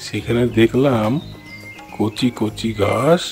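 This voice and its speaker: A man's voice: mid-range voice, slow pace, faint music behind, lightly acted. A man voicing a sensible, kindly animal who leads his friends in a fairy-tale cartoon. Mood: friendly.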